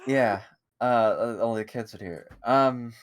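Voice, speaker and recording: A podcast co-host shares gaming news in a loose, rambling way, full of hesitations and playful asides. The voice sits at a middle pitch. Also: normal speed, dry recording. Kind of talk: casual chat.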